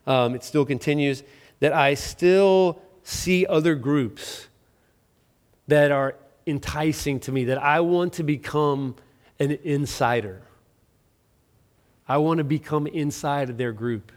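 A man's voice: a little high, takes it slowly. A middle-aged man preaching a sermon as pastor, conversational and self-deprecating, with frequent restarts and fillers. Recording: long reverb of a large hall.

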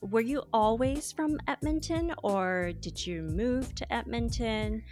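A woman's voice: fairly high pitch, animated, slow pace. A woman hosting a podcast interview, chatty and friendly, with frequent fillers and laughs. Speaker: podcast host. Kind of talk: casual interview chat. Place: Toronto.

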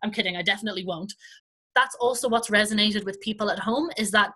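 Bright Irish woman in podcast chat. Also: mid-range voice, fast talker, husky. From Ireland. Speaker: podcast host and children's performer.

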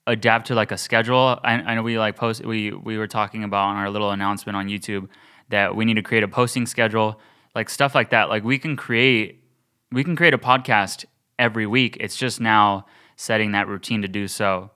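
A man's voice: lowish voice, pushed and bright, clean tone. A young man co-hosting a podcast, talking earnestly and thinking aloud with many restarts. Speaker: podcast co-host. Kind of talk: casual podcast chat.

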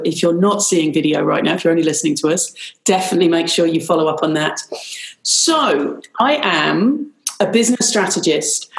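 A middle-aged woman with a British accent talks in a chatty, animated way, often calling things rather lovely. Her voice carries a gravelly fry. She sounds like she's on a video call in an echoey room. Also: on the low side. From Ireland, raised in Pakistan and Nigeria. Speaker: business strategist guest. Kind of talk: conversational interview.

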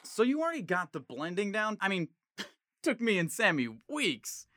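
A man's voice: high-pitched, very animated, normal speed, fairly bright tone, dry recording, studio quality. A young man acting in an audio drama as an eager, slangy slacker who stammers awkwardly. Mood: eager, showing off. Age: young adult. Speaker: actor in a student audio drama.

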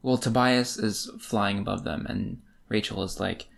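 A man's voice: fairly low pitch, fairly even intonation, measured pace, vocal fry. A man co-hosting a podcast, recapping and discussing a book casually with a wry, playful manner. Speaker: podcast co-host.

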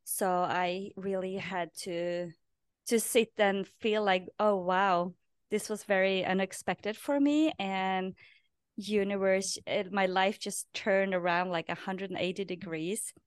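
A woman's voice: medium pitch, steady tone, slow, clean tone, dry recording, clean studio sound. A woman from Norway, speaking English as a second language, telling her story earnestly as a podcast guest and often repeating a word as she restarts. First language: Norwegian. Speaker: podcast guest, life purpose coach. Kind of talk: casual storytelling interview.

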